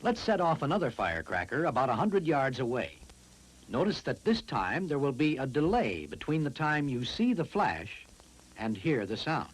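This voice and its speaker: A man reads scripted science narration for a classroom film, explaining in a deliberate, instructive way. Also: medium pitch, sing-song, husky, low-quality recording.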